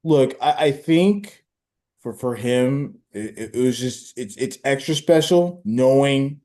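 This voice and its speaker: A man co-hosting a casual sports podcast, talking in a halting, easygoing way with many fillers. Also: mid-range voice, expressive, mellow. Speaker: podcast co-host.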